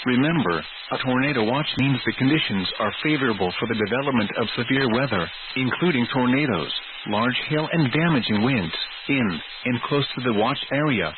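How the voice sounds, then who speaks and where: Over a thin, narrow radio channel with clear noise, a male announcer reads an emergency weather bulletin. His delivery is formal, measured and synthetic-sounding, in a mid-pitched voice. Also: lively intonation. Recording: tinny, noisy weather radio broadcast.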